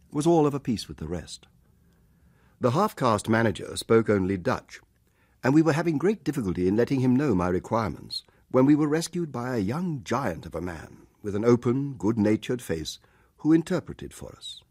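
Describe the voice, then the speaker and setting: An actor playing a wry, courteous British ship's doctor who also narrates a radio drama in measured, literate speech. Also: male voice, fairly low pitch, a little mellow, slightly rough, no room sound, studio quality. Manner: measured, literate, slightly formal. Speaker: narrator and lead character. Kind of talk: radio drama dialogue and first-person narration.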